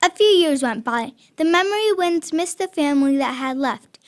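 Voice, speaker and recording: A woman's voice: high-pitched, expressive, normal speed. A young female reading scripted narration as a storyteller in a school radio drama. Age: child or young performer.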